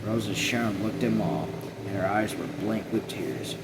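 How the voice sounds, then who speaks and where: A man reading a novel aloud as an amateur, haltingly, often rereading and correcting himself. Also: on the low side, animated, heavy background noise. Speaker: amateur reader.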